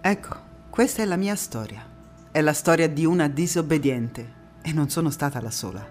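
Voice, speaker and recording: A woman playing a proud, defiant anti-fascist partisan who recalls her youth in a theatrical monologue, with measured phrasing and deliberate pauses. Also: low voice, animated, darkish tone, faint music behind. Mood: proud, reflective. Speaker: stage actress in a one-woman monologue. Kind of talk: theatrical first-person storytelling monologue.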